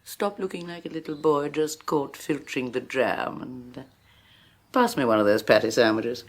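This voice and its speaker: An actress playing a despotic, domineering rich woman who mocks everyone around her in a theatrical, sneering way, in a 1980s radio horror drama. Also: very deep voice. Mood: sweetly menacing. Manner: sneering, theatrical, drawn-out mockery. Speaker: Henrietta Forsythe.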